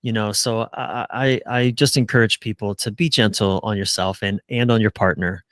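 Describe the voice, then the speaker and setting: Low-voiced man talking earnestly, dry recording. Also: steady tone, moderate pace, fairly bright tone, dry recording. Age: middle-aged. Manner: earnest, casual, swears. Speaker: relationship coach, podcast guest. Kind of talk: conversational interview answers.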